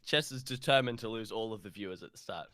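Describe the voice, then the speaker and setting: A man's voice: fairly low pitch, quick pace, background noise. A man co-hosting a podcast in casual, conversational chat. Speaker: podcast co-host.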